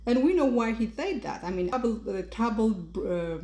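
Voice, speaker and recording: A woman delivers a scornful, opinionated commentary, often stopping to restart her sentences. Her voice is soft and mellow. The room around her rings with a noticeable echo. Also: a little high, lively intonation, moderate pace. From Ethiopia. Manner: critical and mocking, many fillers and restarts. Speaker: podcast host. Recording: roomy echo.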